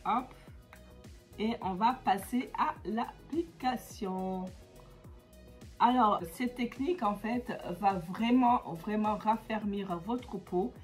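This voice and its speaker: A woman with a cold-stuffed, nasal voice talks her viewers through a beauty routine in a loose, chatty way, often pausing on fillers. Her pitch sits in an ordinary middle range. Faint music plays softly behind her. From Comoros. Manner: chatty, many hesitations. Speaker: beauty vlogger. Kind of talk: casual how-to vlog.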